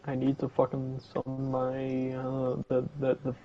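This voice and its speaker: A man's voice: medium pitch, monotone, unhurried, muffled recording, soft background music. A man in casual game chat, bantering in a crude, bossy way with plenty of swearing. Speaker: co-op gaming partner.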